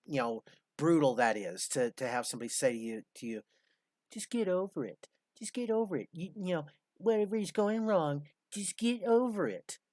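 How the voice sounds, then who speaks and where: A man's voice: on the high side, a bit bright, dry recording, studio quality. A man in his fifties hosting a casual commentary, blunt, sarcastic and profane. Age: 50s. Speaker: host.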